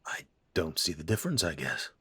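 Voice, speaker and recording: An actor playing a stiff, uneasy middle-aged father in an audio drama, talking in gruff, clipped lines. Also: very deep voice, big pitch swings, fairly quick, sharp tone, no room sound, exaggerated voice. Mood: defensive.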